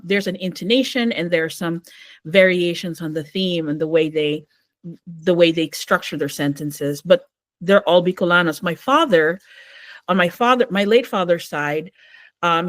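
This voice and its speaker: Novelist guest, woman, middle-aged, Manila-raised; chatty storyteller, low pitch, dry booth sound. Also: dry recording. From Philippines, Metro Manila. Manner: warm, storytelling, many fillers. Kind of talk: casual interview conversation.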